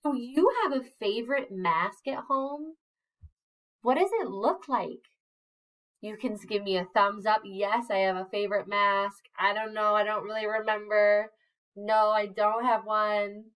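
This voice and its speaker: A woman's voice: mid-range voice, measured pace, fairly bright tone. A woman teacher reading a picture book aloud to young children, encouraging them and asking them questions as she goes. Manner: encouraging, asks the children questions and prompts gestures. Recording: voice a bit distant from the mic.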